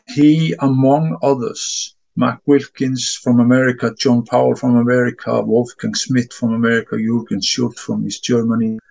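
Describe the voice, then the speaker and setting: A man's voice: medium pitch, gravelly, a little mellow, rough recording. A middle-aged man from Iceland, a discus coach answering interview questions earnestly in non-native English, often repeating words as he gathers his thoughts. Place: home.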